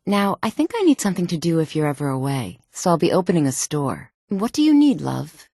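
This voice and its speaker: Sultry, low-voiced noblewoman. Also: very animated, warm tone, dry recording, studio quality, exaggerated voice. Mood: silky, affectionate. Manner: measured, dramatic, formal phrasing. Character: proud, secretive exiled noblewoman, sultry and imperious. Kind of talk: fantasy video game voice acting.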